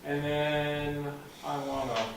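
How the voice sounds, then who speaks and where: A man's voice: a little high, flat delivery, takes it slowly, creaky, noisy background. Chatty man in an echoey room. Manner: chatty, explains step by step with frequent "okay". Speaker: club meeting presenter. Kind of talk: casual computer club demo. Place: meeting room, heard from a distance. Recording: echoey room, far from the mic.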